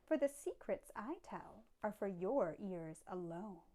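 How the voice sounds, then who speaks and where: A woman's voice: medium pitch, lively intonation, unhurried, mellow, slight background noise. A woman reading a storybook, voicing a secretive old male hermit in a guarded, confiding way. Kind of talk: storybook read-aloud.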